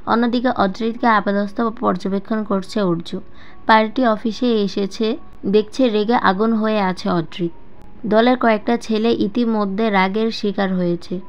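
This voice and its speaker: A woman's voice: medium pitch, a little mellow. A woman reading a scripted dramatic story as a narrator. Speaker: storyteller, audiobook-style narrator. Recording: dull lo-fi voice-over with music. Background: background music.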